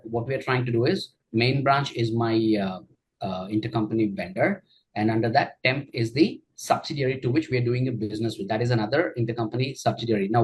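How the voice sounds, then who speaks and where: A man teaching a software tutorial during a screen share, explaining each step with frequent fillers and check-ins. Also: mid-range voice, breathy edge, low-quality recording. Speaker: software trainer.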